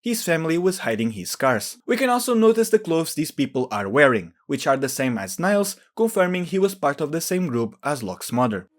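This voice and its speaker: A man's voice: on the high side, very animated, fairly quick, hardly any pauses. A man narrating scripted commentary, explaining and speculating as he goes. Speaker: video narrator.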